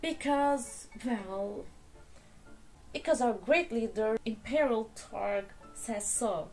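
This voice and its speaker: A woman's voice: a little high, slow, darkish tone, faint music behind. A woman voicing a pompous alien empire chief who gets flustered when challenged, in a children's storybook read-aloud. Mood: flustered, exasperated.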